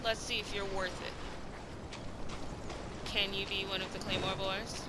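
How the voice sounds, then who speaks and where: A woman's voice: mid-range voice, flat delivery, unhurried, heavy background noise. A woman giving casual, playful, teasing commentary as she plays through a video game. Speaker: gaming video host. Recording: game music behind the commentary.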